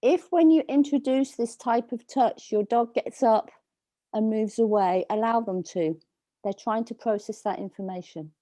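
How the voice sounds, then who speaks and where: A woman dog trainer giving slow, soothing instructions over a video call, with a calming voice. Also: fairly high pitch, no room sound. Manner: soft, slow, soothing coaching. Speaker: dog trainer leading a workshop.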